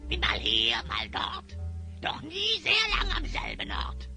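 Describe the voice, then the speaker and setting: Casting: a fawning, scheming hyena-man, slow rhyming delivery with sharp bright edge, audio drama with music underneath. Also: on the low side, huge pitch swings, creaky, full character voice. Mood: sly, boastful. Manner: speaks theatrically in rhyme, obsequious. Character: sly, flattering hyena-headed male spy, two-faced schemer. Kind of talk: German fantasy audio drama acting.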